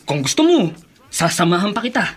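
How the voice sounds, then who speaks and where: A woman's voice: mid-range voice, big pitch swings, a little fast, close to an everyday voice. A woman voicing an insistent, cocky young college boyfriend in a Tagalog radio drama, pushy and casual, with a Filipino accent. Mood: coaxing.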